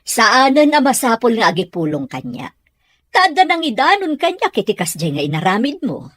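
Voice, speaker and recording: A woman's voice: a little high, sing-song, moderate pace, a bit bright, no room sound. A woman playing a firm, caring mother in an audio drama, speaking in an earnest, admonishing way. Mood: stern, earnest. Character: firm, caring mother correcting her child. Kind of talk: Ilocano audio drama acting.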